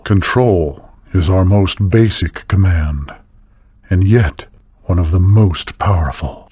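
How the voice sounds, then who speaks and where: An actor playing a solemn, commanding keeper of spirit trials in a fantasy video game, with a husky voice and measured, instructive delivery. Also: male voice, deep voice, a little mellow, no echo.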